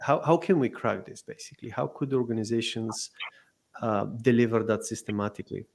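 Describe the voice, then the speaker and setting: A man's voice: mid-range voice, brisk, gravelly, a little mellow, a little hiss or hum. A man hosting an interview podcast, speaking with many fillers and restarts as he welcomes and questions his guest. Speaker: podcast host.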